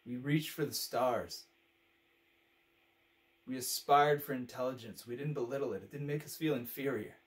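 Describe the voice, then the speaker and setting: A man acts out a brutally frank, fed-up male commentator in a dramatic monologue. His mid-pitched voice is biting and emphatic, lecturing in pointed rhetorical phrases. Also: fairly even intonation, moderate pace, long pauses, close to an everyday voice. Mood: wistful, solemn.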